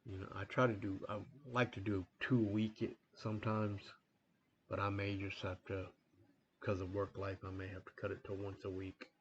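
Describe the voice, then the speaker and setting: A man hosting a train-game playthrough in a rambling, relaxed way, full of fillers, a little sniffly from a coming cold. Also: lowish voice, normal speed, muffled recording, a little hiss or hum. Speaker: gaming channel host. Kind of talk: casual gameplay commentary.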